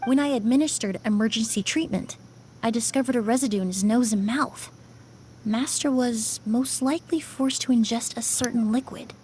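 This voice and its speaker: A woman's voice: a little high, normal speed, a little mellow, a little hiss or hum, lightly acted. An actress playing a courteous, composed young woman in a game, speaking precisely. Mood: composed, clinical. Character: poised, courteous young woman, calm and observant.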